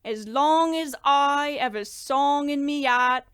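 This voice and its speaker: A woman's voice: high-pitched, slow, fairly bright tone, dry recording, clean studio sound. A woman playing a chirpy, anxious, loyal little monster chimney sweep in an old-time radio horror comedy, earnest and apologetic. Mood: sheepish, earnest.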